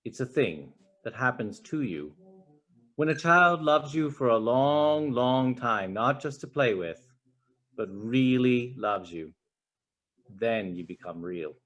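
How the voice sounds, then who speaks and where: A gentle old toy horse from a storybook, played by a man reader, wise and truthful. He speaks softly and very deliberately, leaving space around his words. The pitch is middling and dips at phrase ends. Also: lively intonation. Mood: gentle, patient. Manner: slow, deliberate, soft delivery. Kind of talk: storybook reading.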